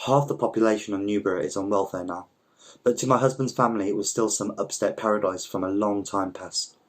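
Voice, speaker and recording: Low-voiced man reading a story aloud. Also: steady tone, fairly quick. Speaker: volunteer story reader. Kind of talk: scripted literary narration, reading aloud.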